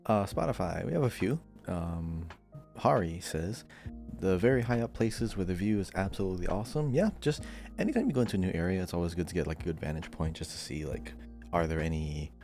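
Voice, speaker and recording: A man's voice: low-pitched, animated, brisk, vocal fry, darkish tone, soft background music. A man co-hosting a gaming podcast, talking in a casual, conversational way. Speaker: podcast co-host.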